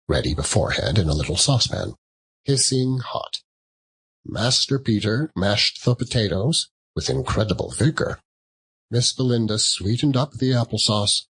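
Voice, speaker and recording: A man's voice: fairly low pitch, fairly bright tone, husky, low-quality recording. A man narrating a classic story as a dramatic audiobook reading.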